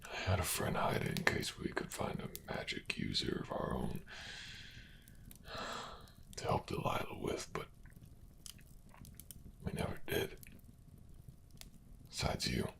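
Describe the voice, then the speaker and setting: Man acting very deep, gravelly dying miner. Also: wildly swooping pitch, fairly bright tone, slight background noise, heavily acted. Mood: weak, earnest. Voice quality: gravelly, breathy rasp. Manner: weak, halting, half-whispered pleading. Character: dying miner mutated by dark magic, weary, pleading. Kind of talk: fantasy audio drama acting.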